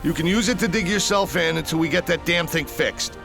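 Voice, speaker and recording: An actor playing an anxious tech operator in a video game, with an urgent, worried, technical manner. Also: male voice, a little high, fairly quick, vocal fry. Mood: concerned instructing. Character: anxious male tech operator aiding a soldier by radio. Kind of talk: video game cutscene acting. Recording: music behind the voice. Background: music.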